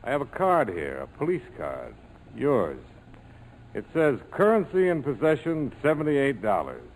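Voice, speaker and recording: An actor playing a tough, cool American major with a wry, clipped, persuasive way of talking in 1940s radio style. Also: male voice, medium pitch, huge pitch swings, measured pace, a little mellow, full character voice. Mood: wry, pressing. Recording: noisy old 1940s radio broadcast.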